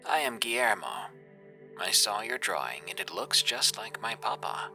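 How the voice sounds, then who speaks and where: A man voicing a grieving son on the phone who speaks softly in a hushed, choked way. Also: fairly low pitch, huge pitch swings, moderate pace, vocal fry, soft background music, exaggerated voice.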